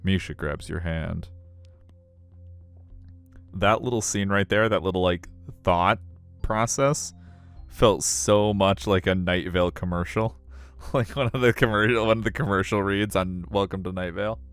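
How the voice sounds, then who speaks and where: A man's voice: sits low, measured pace, long pauses, fairly bright tone, clear, resonant tone, faint music behind. A middle-aged man live streaming a visual novel, switching between casual chat and narration. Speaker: game streamer.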